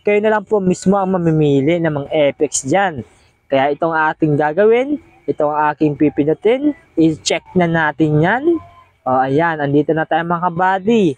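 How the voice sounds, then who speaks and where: A man's voice: a little high, animated, moderate pace, darkish tone, no echo. A man hosts a casual step-by-step tutorial, chatty and encouraging, often repeating himself. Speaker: host of video editing tutorial.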